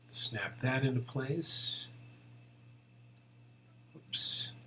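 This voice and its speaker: Slow-paced man narrating a software tutorial. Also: medium pitch, lively intonation, slight background noise. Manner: unhurried, thinking aloud. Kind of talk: informal step-by-step screen tutorial narration.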